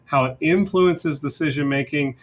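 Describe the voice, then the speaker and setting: A man's voice: a little high, normal speed. A man hosting a casual business discussion, putting questions to his guests. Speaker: host of a business discussion series. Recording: noticeable background noise.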